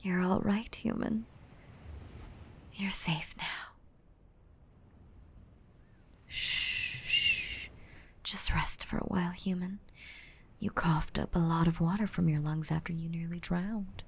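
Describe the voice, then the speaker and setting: Casting: gentle nurturing mermaid for ASMR roleplay, female actor, slow and soothing, lowish whispery voice. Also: exaggerated voice. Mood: tender, soothing. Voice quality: breathy, whispery. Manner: soothing, doting, uses pet names. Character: gentle, nurturing mermaid rescuing a drowning human. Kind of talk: roleplay ASMR audio drama.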